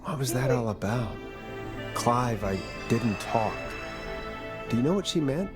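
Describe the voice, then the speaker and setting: An actor playing a wry, put-upon late-night radio host on air in a video game. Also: male voice, medium pitch, expressive, a little slow, music in the background, natural voice. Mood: wry, composed. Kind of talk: video game acting of live radio talk.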